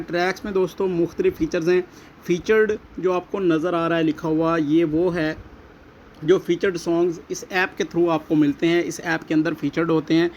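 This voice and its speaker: Higher-voiced Pakistani man giving a tutorial. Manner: repetitive explaining, addresses viewers as friends. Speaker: tutorial host. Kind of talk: step-by-step app tutorial narration. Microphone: voice-over recorded alongside phone screen recording. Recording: dull lo-fi audio, faint background noise.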